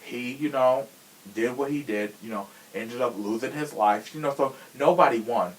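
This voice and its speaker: A man's voice: mid-range voice, normal speed, slight background noise, poor audio. A young man hosting a podcast in a casual, opinionated chat, talking in a sassy, slangy and emphatic way. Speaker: podcast host.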